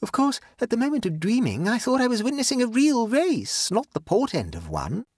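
A man's voice: extremely high pitch, huge pitch swings, normal speed, no echo, clean studio sound, voice pushed up, exaggerated voice. A man voicing a touchy, self-pitying young society woman in a storybook, with a plaintive, genteel and defensive manner. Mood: earnest, defensive. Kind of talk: audiobook character dialogue.

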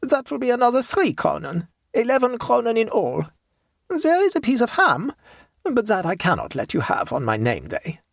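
A narrator voicing a stolid, shrewd peasant woman in a storybook, calm, devout and matter-of-fact. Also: medium pitch, vocal fry, no room sound, clean studio sound, voice pushed up, heavily acted. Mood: calm haggling. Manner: tranquil, unhurried, matter-of-fact.

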